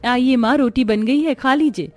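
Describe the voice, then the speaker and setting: A woman's voice: sits high, normal speed, dry recording, faint music behind, lightly acted. A woman voicing a poor, dutiful young village daughter in a Hindi cartoon story, earnest and plaintive. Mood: dutiful, apologetic.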